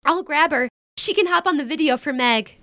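An actress playing an outspoken, wisecracking young American elder sister in a naturalistic modern audio drama, with blunt, casual banter. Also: female voice, sits high, normal speed, bright, forceful tone, dry recording, clean studio sound. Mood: eager, exasperated. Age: young adult.

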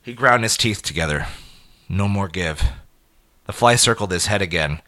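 A man narrates a dark short story in a low-pitched voice with a forceful, bright edge. His delivery is deliberate and dramatic, set out in clipped phrases. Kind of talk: scripted dramatic audio fiction narration.

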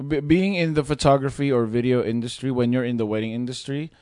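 Male podcast host, middle-pitched voice, casual chat, playful teasing, close dry recording. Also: fast talker, a little mellow, clean tone, no room sound. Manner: jokey, teasing.

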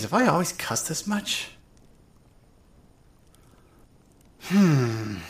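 Woman acting a tipsy, swearing young woman, very animated. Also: low voice, heavily acted. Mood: tipsy, cheerful. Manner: rambling, drunken hesitations, sarcastic swearing. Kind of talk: first-person dramatic narration of a fan audio drama.

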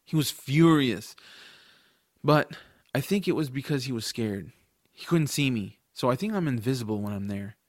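A man playing a curious, adventurous young boy who narrates his own story in an expressive, earnest audiobook style. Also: medium pitch, normal speed, no room sound, clean studio sound, close to an everyday voice. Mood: earnest, wistful. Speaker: narrator and lead character.

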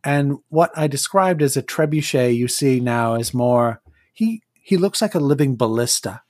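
A game master narrating a tabletop role-playing game in a descriptive way, pausing to search for words. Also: medium pitch, expressive, darkish tone, no room sound, studio quality.

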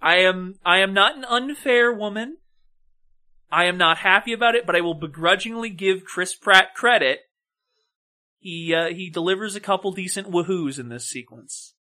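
A woman with a bright, forceful voice at a middling pitch chats as a podcast co-host. She gives opinionated film commentary, wry and sardonic in an informal style. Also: moderate pace.

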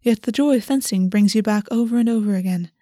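A woman reading the part of a composed, sly male courtier in a fantasy audiobook, often speaking softly and half-whispered. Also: medium pitch, quick pace, no echo, clean studio sound, natural voice. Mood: explaining. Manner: soft, breathy, half-whispered speech.

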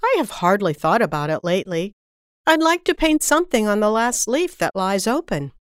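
A woman's voice: medium pitch, big pitch swings, moderate pace, clear, resonant tone, dry recording, studio quality, close to an everyday voice. A woman voicing an earnest, pleading little boy in a storybook tale.

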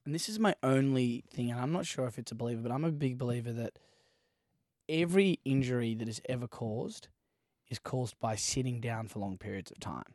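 A man's voice: medium pitch, expressive, a little fast, a little mellow, no echo, clean studio sound. A man co-hosting a podcast in casual chat, rambling through anecdotes and laughing as he goes. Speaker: podcast co-host.

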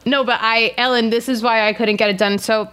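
A woman's voice: fairly high pitch, fairly even intonation, brisk, fairly bright tone, smooth. A woman in her thirties hosting a podcast over a video call, chatty and rambling, laughing often. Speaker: podcast host. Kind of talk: casual podcast chat.

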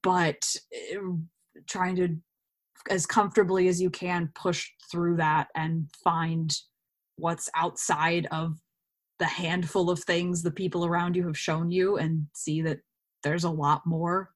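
A woman's voice: fairly low pitch, flat delivery, takes it slowly. A woman chatting informally as a guest in a casual podcast interview. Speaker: podcast guest, actor and cosplayer.

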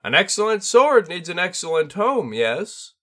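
A man voicing an ancient crow-like tengu sword master with a sharp, squawking, piercing voice and a sing-song lilt that ends his questions with "yes?". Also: high-pitched, sing-song, moderate pace, pitched above the performer's own voice, exaggerated voice. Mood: sharp, cryptic.

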